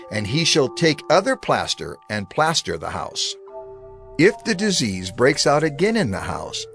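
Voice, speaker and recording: A male narrator reads scripture aloud in a steady, reverent tone. His mid-range voice moves through a lively, expressive melody. Soft music hums faintly in the background. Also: mid-range voice.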